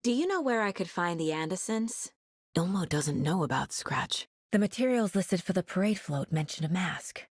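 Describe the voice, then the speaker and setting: A driven female investigator in a dramatic video game. Her voice sits low and is strongly husky and breathy, recorded very dry. She sounds focused and troubled, with a dry, wry edge. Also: expressive, normal speed, dry recording. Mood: focused, pensive.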